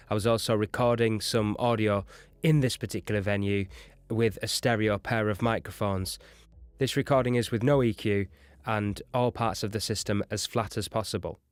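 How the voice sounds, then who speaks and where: A man with a fairly low voice gives an explanatory audio lesson. He talks as an instructor walking through a technical point. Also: no room sound.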